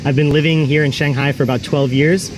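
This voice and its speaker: Fast, polished male speaker outdoors. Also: a little high, steady tone. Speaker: debate trainer, interviewee. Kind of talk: street interview answers. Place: busy city street outdoors. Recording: street traffic noise.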